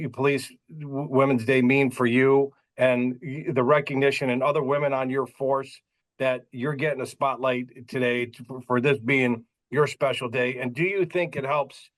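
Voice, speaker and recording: A man's voice: medium pitch, steady tone, normal speed. A man hosting a podcast, asking long rambling questions with stammers and light jokes. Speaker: podcast host. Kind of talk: casual interview questions.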